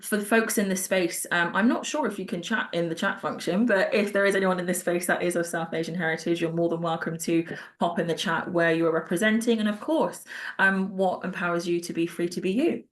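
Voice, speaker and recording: A woman with a slightly low voice hosts a panel discussion over a video call. She speaks in an appreciative, affirming way as she guides the conversation. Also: steady tone, brisk. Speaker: panel host. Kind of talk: hosting an online panel discussion.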